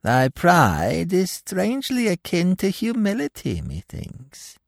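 Gloating monster dwarf, wildly swooping, very slow and drawn-out, archaic gothic villain. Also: male voice, high-pitched, huge pitch swings, no echo, studio quality, pitched above the performer's own voice, heavily acted. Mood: mocking glee. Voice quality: screeching, gravelly. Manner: theatrical, drawn-out archaic speech. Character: gloating, mocking demonic dwarf monster, male.

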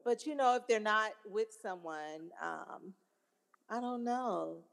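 A woman's voice: mid-range voice, slow, gravelly, a bit bright, big-room sound. A woman over fifty, a guest on a dating talk show, speaking hesitantly with many fillers. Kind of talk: casual chat.

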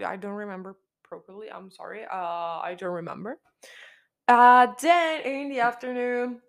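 A young woman with a slightly raspy, hoarse voice hosting her podcast in casual chat, repeating herself and restarting her sentences. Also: on the high side. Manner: chatty, repeats herself, frequent restarts and fillers. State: hoarse after a concert. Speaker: podcast host. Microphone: studio microphone.

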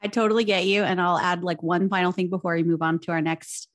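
A woman co-hosting a casual podcast, chatty and animated, laughing often. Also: lowish voice, fast talker. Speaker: podcast co-host.

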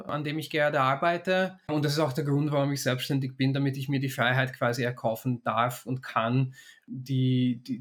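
A middle-aged man born in Hungary, a consultant and startup founder answering interview questions in a thoughtful, explanatory way, with frequent restarts and fillers. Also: medium pitch.

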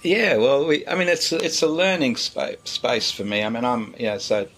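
A middle-aged man, a pastor, answering interview questions in a relaxed, hesitant way, with many fillers and pauses. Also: medium pitch, husky.

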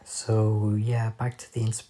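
A hoarse man hosting a casual solo podcast at home, soft-spoken and halting, recorded on a phone voice memo. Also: fairly low pitch, monotone. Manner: soft-spoken, halting, drifting off mid-sentence. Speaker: podcast host.

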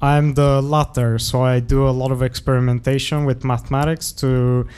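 Smooth-voiced young man, modest and halting. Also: mid-range voice, level tone, a little mellow. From Bulgaria. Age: young adult. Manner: hesitant, modest, trails off. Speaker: guest artist. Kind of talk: casual interview.

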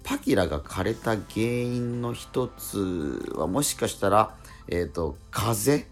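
A man hosting a radio show, chatting casually and explaining things in a polite, halting way. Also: lowish voice, expressive, vocal fry, faint music behind. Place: radio studio.

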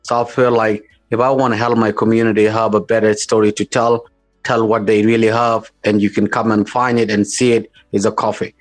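A man with a South Sudanese accent answering interview questions as a company founder, in informal, halting, repetitive phrasing. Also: fairly low pitch, little pitch movement, faint music behind, low-quality recording. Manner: informal, repetitive, halting phrasing with non-standard English grammar.

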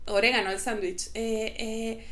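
A woman's voice: fairly high pitch, unhurried. A Venezuelan woman with a Venezuelan Spanish accent, chatting casually and telling stories full of mimicked voices and laughter. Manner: animated storyteller, mimics other accents, laughs often. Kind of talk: casual chat.

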